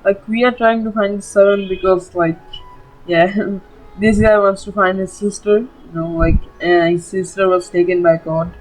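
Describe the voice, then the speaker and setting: A woman's voice: medium pitch, fairly even intonation, moderate pace, rough recording. A woman giving casual, chatty let's play commentary on a video game, leaning on little verbal tags. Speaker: gaming video host. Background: game music.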